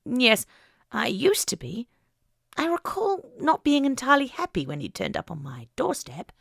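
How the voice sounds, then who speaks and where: A woman playing a frail, flustered elderly lady in an audiobook, genteel and proper with a breathy, hesitant manner. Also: on the high side, wildly swooping pitch, normal speed, fairly bright tone, no room sound, studio quality, pitched above the performer's own voice, exaggerated voice. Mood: prim, recollecting. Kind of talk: audiobook character acting.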